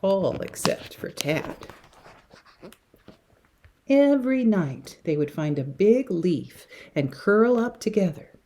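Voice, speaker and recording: Woman, children's read-aloud, slow pacing, very animated intonation, dramatic, hushed suspenseful moments. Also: medium pitch, slight background noise. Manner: dramatic, with hushed passages and emphatic words. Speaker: teacher reading a picture book to young children.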